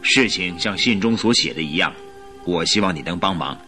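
An actor playing a domineering, haughty, sturdy business magnate in a radio drama, speaking curtly and with authority. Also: male voice, deep voice, expressive, normal speed, sharp tone, soft background music. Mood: commanding. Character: domineering, sturdy, lion-like male gold-mine tycoon.

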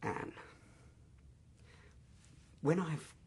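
An actor playing an impatient, dismissive British husband in a radio drama, brisk and patronising. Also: male voice, a little mellow. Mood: defensive. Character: impatient, dismissive career-minded husband and father.

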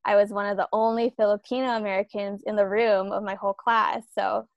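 A woman's voice: mid-range voice, little pitch movement, fairly quick, vocal fry, dry recording, highs cut off. A young woman from Los Angeles, first language Tagalog, thoughtfully telling personal stories in casual conversation. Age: young adult. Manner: reflective, storytelling.

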